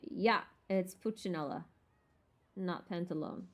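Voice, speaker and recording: A woman's voice: lowish voice, a little slow, slight background noise. A woman livestream host chatting casually about a game, thinking aloud in an informal way. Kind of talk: casual chat, game lore rambling. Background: background music.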